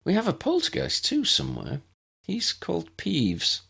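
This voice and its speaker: Man doing a chatty schoolgirl prefect, raised pitch. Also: huge pitch swings, moderate pace, creaky, bright, forceful tone, breathy edge, full character voice. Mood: friendly, explaining. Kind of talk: audiobook character voice.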